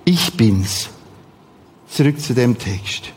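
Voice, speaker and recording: A man with a Swiss German accent preaching a sermon in short, repeated, emphatic phrases, with a husky voice. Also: medium pitch, animated, takes it slowly, a little hiss or hum.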